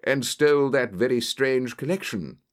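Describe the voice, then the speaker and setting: A man's voice: medium pitch, very animated, normal speed. A man voicing a keen, self-assured detective, thoughtful and precise, in an audiobook story. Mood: thoughtful, curious.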